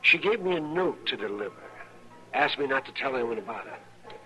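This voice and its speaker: A man's voice: medium pitch, expressive, normal speed, pushed and bright, soft background music, poor audio. A man playing a folksy, hesitant town doctor in a radio western, talking conversationally. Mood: uneasy confiding. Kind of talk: old-time radio western acting. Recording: old radio recording.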